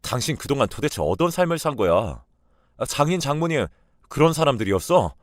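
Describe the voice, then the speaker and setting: A man's voice: mid-range voice, big pitch swings, fairly quick, dry recording, studio quality, voice pushed up, natural voice. A man voicing an indignant husband in a read-aloud story. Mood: enraged. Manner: loud, indignant. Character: gentle husband in a rage.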